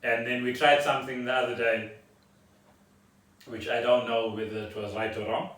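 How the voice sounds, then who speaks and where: A man chats casually as the co-host of a home podcast. His voice sits at an average male pitch. It was picked up some way from the mic, with plenty of room echo around it. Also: flat delivery, normal speed, fairly bright tone. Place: indoors at home. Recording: roomy, distant from the mic.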